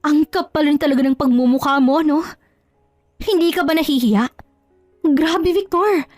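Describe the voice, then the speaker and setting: Woman acting a high-pitched, indignant betrayed wife. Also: moderate pace, darkish tone, no room sound, faint music behind, natural voice. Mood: indignant, scornful. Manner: scolding, scornful rhetorical questions. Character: hurt, indignant betrayed wife, proud and resolute. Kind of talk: Tagalog audio drama acting.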